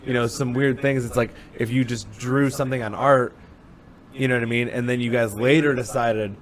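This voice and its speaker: A man's voice: medium pitch, fairly even intonation, a little fast. Rambling casual podcast host, a man. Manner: rambling, fills with "like" and "you know what I mean". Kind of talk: casual chat.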